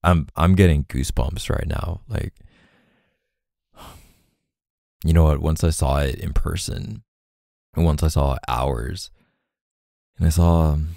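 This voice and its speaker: Male, extremely low gravelly voice, as an actor playing a gentle, apologetic boyfriend in intimate ASMR roleplay; halting, doting, close-miked. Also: steady tone, dry recording, exaggerated voice. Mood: tender, reassuring. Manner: tender, halting, sighing between phrases. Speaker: boyfriend roleplay audio performer.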